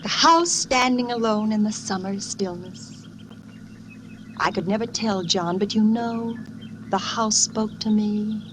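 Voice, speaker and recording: An actress playing a fragile, nervous young wife confiding in a secret journal, in intense, dramatic 1940s radio style. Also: female voice, mid-range voice, takes it slowly, noisy background, close to an everyday voice. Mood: wistful. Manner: intense, brooding, dramatic delivery. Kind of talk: 1940s radio acting, confessional narration. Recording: old radio recording with music behind.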